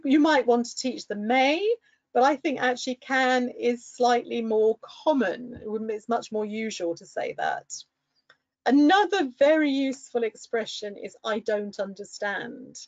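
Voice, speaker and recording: A middle-aged woman giving an encouraging talk to fellow English teachers, with lively bursts when quoting pupils. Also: fairly high pitch, a little slow, no room sound, highs cut off.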